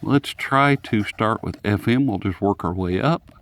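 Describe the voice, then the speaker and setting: A man's voice: fairly low pitch, unhurried. A man hosting a casual, unscripted radio review in a folksy, jokey, rambling manner. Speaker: host of radio review videos.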